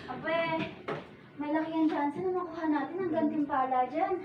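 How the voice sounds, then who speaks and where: Elderly grandmother for family stage drama played by a woman, high, affectionate, distant noisy recording. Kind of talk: stage play acting. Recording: muffled, noisy, echoey stage from afar.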